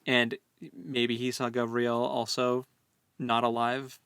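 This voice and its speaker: A man co-hosting a casual book discussion, speaking in halting, informal phrases. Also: medium pitch, flat delivery, a bit bright, clear, resonant tone, no room sound. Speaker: podcast co-host.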